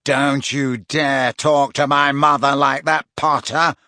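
A man's voice: mid-range voice, lively intonation, slow pace, bright, forceful tone, no echo, studio quality. Man doing a drawling, spoiled teenage boy sneer. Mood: angry snarl.

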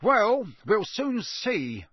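A man's voice: high voice, big pitch swings, normal speed, fairly bright tone, no room sound, voice pushed up, exaggerated voice. A man voicing a blustering middle-aged wizard official who barks accusations. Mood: accusing. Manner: barking, accusing.

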